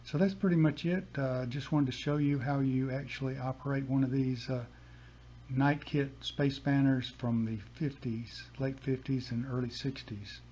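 A man in his seventies or older giving a casual, unscripted hands-on demonstration in a halting, plain-spoken way. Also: mid-range voice, steady tone. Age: 70s or older. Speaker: hobbyist demonstrating a vintage radio. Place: basement room with a desk. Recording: dull home recording, loud radio hiss.

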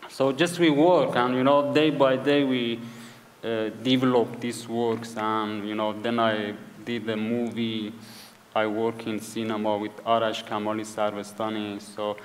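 A man with a Kurdish accent speaking English as a second language, halting and searching for words as a guest at a live event. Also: lowish voice, little pitch movement, a little hiss or hum. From Iranian Kurdistan. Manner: hesitant, searching for English words, repeats himself. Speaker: author guest. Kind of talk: conversational interview on stage. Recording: hall reverb at a live event.

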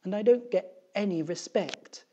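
High, plaintive woman schoolteacher, played by a man. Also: unhurried, dark tone, a little hiss or hum. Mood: aggrieved. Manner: plaintive, complaining. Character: aggrieved, weepy woman schoolteacher, long-serving and unappreciated. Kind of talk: children's storybook character reading.

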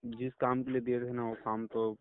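Heard over a narrow phone line, this is a man with a smooth, clear voice at a middling pitch. He talks as a patient in a consultation call. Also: flat delivery, normal speed. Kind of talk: phone conversation. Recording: tinny phone call recording.

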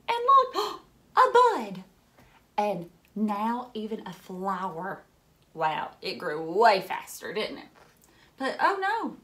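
A woman in her thirties reading a picture book aloud to young children, expressively and with dramatic emphasis and little asides. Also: mid-range voice. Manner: animated, dramatic gasps and hushed moments, simple questions to children. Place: furnished room at home, indoors.

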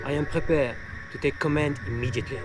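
A man's voice: on the high side, fairly even intonation, quick pace, noisy background, close to an everyday voice. Distant, haughty European leader played by a man. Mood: aloof, smug. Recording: distant video link sound.

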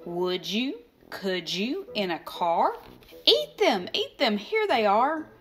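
Woman doing eager little storybook pest, cheerful, coaxing, unhurried, faint music behind. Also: mid-range voice, big pitch swings, fairly bright tone. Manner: coaxing, questioning. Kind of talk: expressive children's picture book read-aloud.

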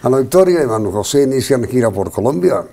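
An older man interviewing a guest in a TV studio, asking brisk, probing questions and stumbling over words at times. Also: mid-range voice, sing-song, gravelly, noisy background.

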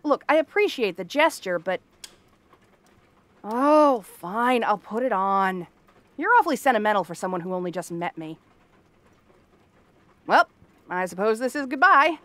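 A woman's voice: mid-range voice, expressive, measured pace, pauses often, a bit bright, no echo. A woman playing a cranky, tomboyish young frog-girl princess in a fantasy roleplay, sarcastic and haughty. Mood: flustered, grudging. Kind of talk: scripted fantasy ASMR roleplay.

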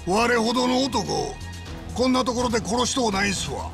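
A man's voice: extremely high pitch, big pitch swings, moderate pace, creaky, over music, heavily acted. A man playing a menacing, mock-polite Kansai yakuza boss in a video game, drawling and taunting in Kansai dialect. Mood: mock-polite menace. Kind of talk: dramatic video game cutscene acting.

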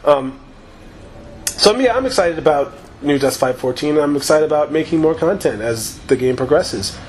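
A man giving loose, profane, rambling commentary over his own gameplay, his voice slightly hoarse after a long session. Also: on the high side, animated, normal speed, darkish tone, slight background noise. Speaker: gaming YouTuber.

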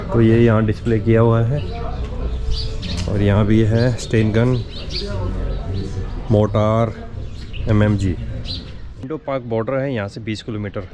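A man's voice: fairly low pitch, dull audio. A man, giving chatty travel vlog commentary into a handheld camera, in a car and outdoors. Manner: chatty tour-guide commentary, mixing English words into Hindi. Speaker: travel vlogger. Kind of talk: casual travel vlog narration. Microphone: handheld camera at arm's length. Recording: outdoor camera audio with clear vehicle and wind noise.

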